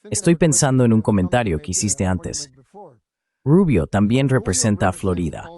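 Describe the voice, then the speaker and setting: A man's voice: fairly low pitch, big pitch swings, moderate pace, mellow. A man giving a translated voice-over of an interview guest's political analysis.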